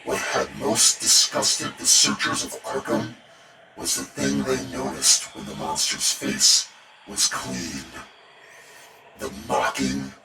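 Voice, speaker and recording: Male horror narrator, extremely low register, dramatic and ominous delivery, set back from the mic in a roomy echo. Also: big pitch swings, takes it slowly, vocal fry. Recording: roomy echo, distant, music behind. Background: music bed.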